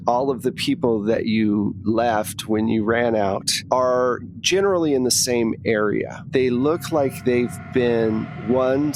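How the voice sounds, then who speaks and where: A man's voice: mid-range voice, slight background noise, poor audio. A man speaking casually as game master, narrating a tabletop horror game.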